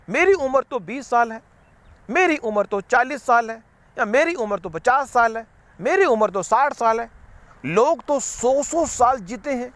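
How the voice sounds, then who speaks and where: Guy with a high voice rambling thru a spiritual talk, repeats himself a lot. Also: a bit bright, no echo. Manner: rambling, repetitive, mixes English words. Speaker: spiritual speaker. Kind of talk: unscripted motivational talk.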